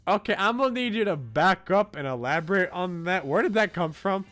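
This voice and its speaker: Animated male game streamer, lively pitch. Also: brisk, faint music behind. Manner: animated, joking asides. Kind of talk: casual live commentary and read-aloud of a visual novel.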